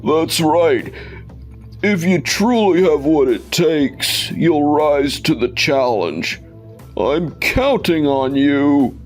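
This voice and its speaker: A man playing a stern, measured company boss and father in a manga dub, speaking with authority. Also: a little high, expressive. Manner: deliberate, authoritative. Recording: music under the voice.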